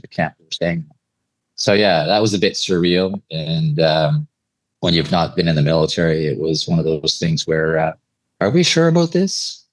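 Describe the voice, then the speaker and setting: A man from Eastern Canada speaking as an interview guest, telling anecdotes in a conversational, measured and reflective way. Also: low voice, dry recording, poor audio. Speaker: interview guest, air traffic controller telling stories.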